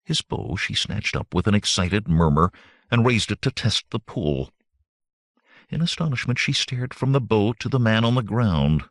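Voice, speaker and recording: A male audiobook narrator with a low voice reads fantasy fiction from a script. His delivery is animated and varied in pitch, and the sound is dry, with no room on it. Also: no room sound.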